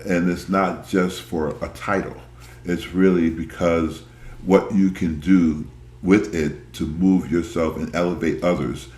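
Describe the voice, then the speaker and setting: A man's voice: rumbling low, a little mellow. Casual guy host, jokey and teasing, sounds like he's in an echoey room. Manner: playful, teasing, laughs often. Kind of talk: casual chat interview.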